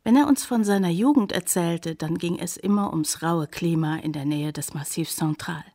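An actress playing a scientist's devoted daughter, narrating a radio drama in a measured, deliberate, poetic way. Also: female voice, fairly low pitch, darkish tone. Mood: reflective.

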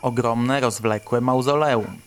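A man hosts and narrates an audio fiction program. He reads prepared introductions and literary narration in a medium-pitched voice, addressing listeners as a storyteller. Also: fairly even intonation, slow, a little hiss or hum. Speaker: host and narrator of a radio play podcast.

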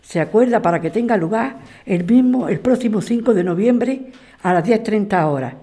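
A woman's voice: medium pitch, animated, moderate pace, warm tone, natural voice. A woman playing a worried, confused and wary mother in an audio drama. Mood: reading haltingly.

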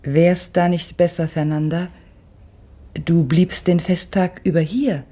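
A melancholy mother in a literary radio drama. Her voice is low, dark and husky, with gentle, measured, wistful phrasing. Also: fairly even intonation. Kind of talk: literary German radio play acting.

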